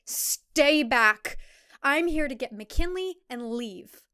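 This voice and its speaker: Defiant young thriller heroine, acted by a female narrator, highish voice, emphatic delivery. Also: expressive, slow pace, sharp tone, exaggerated voice. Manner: emphatic, indignant. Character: defiant, resolute young woman confronting a villain. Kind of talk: thriller audio drama acting.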